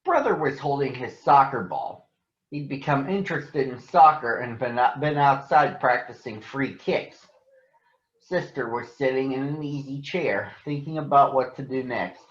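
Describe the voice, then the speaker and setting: An American man addressing children and reading a picture book aloud in a deliberate, announcer-like way. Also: mid-range voice. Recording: echoey room, slightly dull sound.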